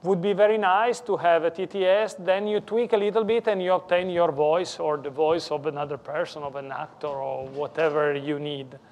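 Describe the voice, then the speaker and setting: A man with an Italian accent, a native Italian speaker, lecturing in halting English that he often corrects mid-sentence. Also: on the high side, fairly even intonation, darkish tone. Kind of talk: technical conference talk. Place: lecture room.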